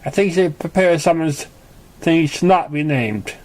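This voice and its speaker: Man voicing a fretful young country filly, raised pitch. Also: unhurried, a little mellow, lightly acted. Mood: exasperated. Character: earnest, exasperated young country filly in a cartoon story.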